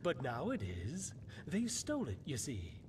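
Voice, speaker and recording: A man's voice: huge pitch swings, a little slow. A man voicing an eerie, mock-courteous prophet in a video game, speaking in portentous, theatrical riddles. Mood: cryptic, solemn. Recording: rumbling background noise.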